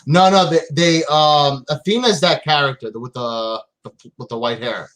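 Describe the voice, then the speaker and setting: A man chatting casually as a comic reviewer, explaining things in a tentative, halting way. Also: fairly high pitch, fairly bright tone, low-quality recording.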